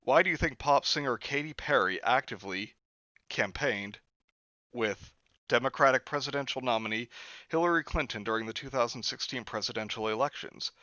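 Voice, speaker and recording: Male host reading a book on stream, sardonic asides, bright tone, slightly dull lo-fi mic. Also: mid-range voice, normal speed, dry recording. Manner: sarcastic asides between read passages.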